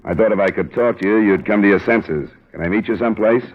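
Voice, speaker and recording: A man's voice: on the low side, quick pace, husky. A male actor with an American accent playing a terse, world-weary investigator in an old-time radio detective drama, clipped and pressing. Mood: urging, stern. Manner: clipped, pressing, matter-of-fact. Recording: tinny old radio recording, music.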